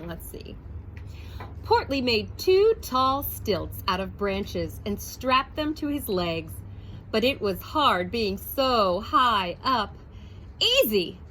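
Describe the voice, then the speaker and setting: A woman teacher reading a picture book aloud to her first graders, in a slow, expressive storytelling voice. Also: on the high side, a little hiss or hum, low-quality recording.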